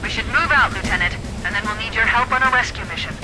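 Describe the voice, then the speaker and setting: A woman playing a brisk, confident, analytical female military AI in a video game cutscene, speaking in crisp, articulate phrases. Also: fairly high pitch, lightly acted, rough recording. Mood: urgent briefing. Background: loud music and game sound effects.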